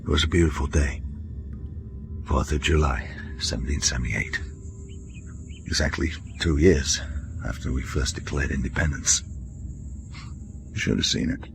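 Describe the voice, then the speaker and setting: A man playing a weary American former prisoner in a radio drama, telling his memories in a measured, gentle way. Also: very deep voice, over music. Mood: reflective. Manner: measured, reflective storytelling. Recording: clearly noisy background.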